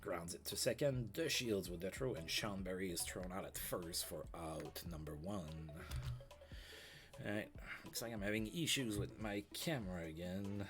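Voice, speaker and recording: A man's voice: sits low, moderate pace, fairly bright tone. A man with a stuffy, allergy-blocked voice, casually chatting and calling plays as host of a baseball management game stream. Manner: chatty, fillers, play-by-play calls. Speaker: let's play host. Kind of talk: casual baseball sim commentary. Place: home streaming setup.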